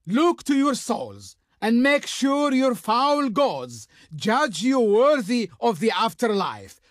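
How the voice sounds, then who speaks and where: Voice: mid-range voice, very animated, takes it slowly, dry recording, studio quality. An actor playing a haughty, grandiose Egyptian diplomat in a video game, speaking in a pompous, formal, declamatory way. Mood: wrathful threatening. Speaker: game character, faction envoy. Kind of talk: theatrical video game voice acting.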